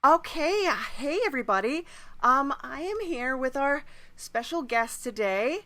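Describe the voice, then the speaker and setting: Bright-voiced woman hosting a podcast. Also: high voice, lively intonation, measured pace. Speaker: podcast host interviewer. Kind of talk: casual conversational interview. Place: podcast interview recording.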